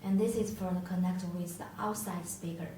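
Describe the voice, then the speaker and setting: Female product demonstrator, non-native English, lowish voice, halting tutorial delivery, heavily reverberant room recording. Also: fairly even intonation, mellow. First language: not English. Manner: halting, hesitant delivery with searching pauses. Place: echoey indoor room, tabletop demonstration. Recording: echoey room, distant mic, faint noise.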